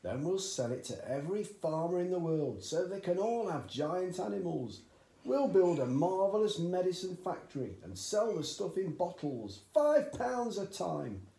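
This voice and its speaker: A man's voice: high-pitched, very animated, creaky, mellow, pitched above the performer's own voice, full character voice. A man voicing an overexcited middle-aged father who bellows and gushes over his schemes. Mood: excited. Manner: bellowing, gushing, drawn-out exclamations. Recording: roomy echoey home recording.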